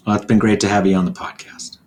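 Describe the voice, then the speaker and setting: Man, somewhat low voice, podcast host, conversational and courteous, professional interview questions. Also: little pitch movement, fast talker, slight background noise.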